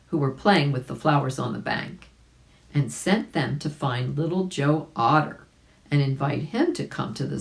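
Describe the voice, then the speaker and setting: A woman reading a children's story aloud in an expressive way, with chatty asides to young listeners. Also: low voice, gravelly. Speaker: volunteer storybook reader.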